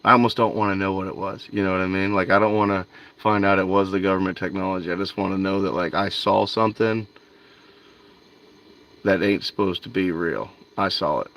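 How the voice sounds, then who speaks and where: Low-voiced man chatting on a night livestream. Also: steady tone, a little fast, a bit bright. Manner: loose and chatty, talks to viewers by name, says "dude" and "man". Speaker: fishing livestream host. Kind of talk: casual livestream chat with viewers. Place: outdoors by a canal at night.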